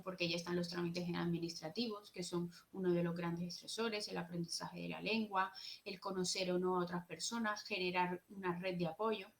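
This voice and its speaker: A young woman with a Jerez Andalusian accent giving an earnest, explanatory conference talk at a social work seminar, partly read from notes. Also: medium pitch. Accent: Andalusian Spanish, from Jerez.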